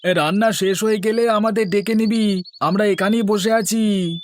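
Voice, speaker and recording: A man's voice: high voice, steady tone, normal speed, slight background noise, lightly acted. A man playing a younger village brother who follows along, in a cartoon fairy tale. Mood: eager.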